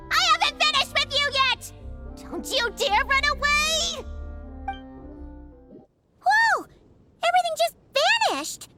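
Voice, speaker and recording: A very high, animated little girl, a proud and feisty witch hunter from a video game. She blusters indignantly over clear background music. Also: heavily acted. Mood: outraged. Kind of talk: video game cutscene acting. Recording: music behind the voice.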